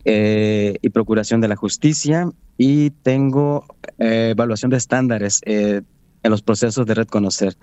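A man with a Mexican accent whose first language is Nahuatl, answering interview questions in an earnest, proud and measured way. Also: lowish voice, steady tone, dark tone, clear, resonant tone, no echo. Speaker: guest, Nahuatl language coordinator. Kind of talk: interview conversation.

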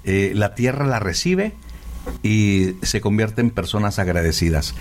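A man's voice: on the low side, lively intonation, unhurried, slight background noise. A man speaking as an interviewer in a conversational interview about faith. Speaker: interviewer, host.

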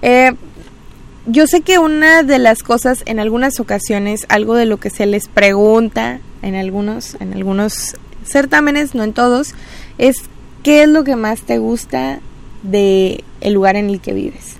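A woman's voice: fairly high pitch, a little slow, gravelly. A woman hosting a casual radio interview, chatty and encouraging, with many filler words. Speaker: radio and social media host.

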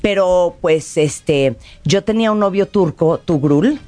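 A woman's voice: fairly low pitch, slow pace, dark tone, soft background music. Female radio host, Mexican accent, playful and teasing, informal conversational delivery. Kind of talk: lively informal radio chat.

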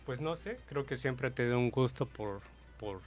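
A Mexican man in his forties answers at a measured, middle pitch, pausing and hedging as he thinks. Faint music plays behind him. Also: lively intonation. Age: 40s. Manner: thoughtful, hesitant, soft-spoken. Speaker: guest photographer. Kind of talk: casual interview answers.

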